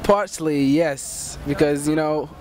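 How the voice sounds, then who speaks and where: A man's voice: on the high side, unhurried, a little mellow, a little hiss or hum. A young man from Morocco, a student, giving rambling, hedging street interview answers outdoors.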